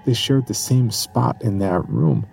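An actor playing a haunted man who gravely recounts his terror and slide into madness in a horror audio drama. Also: medium pitch, unhurried, a little mellow, soft background music. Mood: haunted dread. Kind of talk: first-person horror storytelling.

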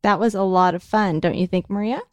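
A woman's voice: medium pitch, a little fast, no room sound. A woman guesting on a comedy podcast over a video call, joking in a playful, giggly way. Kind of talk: improvised comedy banter.

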